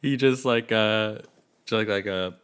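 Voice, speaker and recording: A man working as game master, improvising casual narration in a halting, easygoing, filler-heavy way. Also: lowish voice, a bit bright, smooth.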